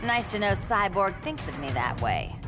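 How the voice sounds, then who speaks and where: A woman's voice: mid-range voice, very animated, moderate pace, lightly acted. An actress playing a confident woman cat burglar who talks in a wry, teasing, cool way. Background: game music.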